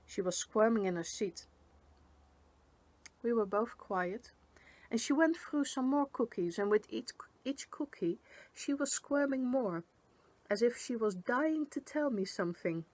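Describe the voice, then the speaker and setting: A woman narrating a first-person storybook tale in an expressive read-aloud manner. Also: on the high side, measured pace, no room sound, poor audio. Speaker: story reader.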